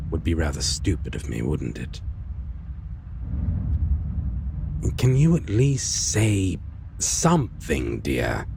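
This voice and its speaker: Man acting a very deep, gravelly suave vampire. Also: noisy background, full character voice. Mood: teasing. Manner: theatrical, teasing, endearments, soft chuckles, hesitant pauses. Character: suave teasing male vampire, former hunter, long-lost lover. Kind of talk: intimate dark fantasy audio roleplay, scripted.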